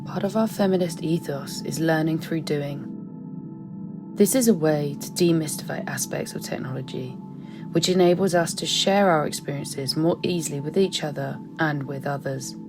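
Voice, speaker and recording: Woman doing measured reflective voiceover, pretty low voice, music under it. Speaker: project member and narrator. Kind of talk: reflective scripted narration.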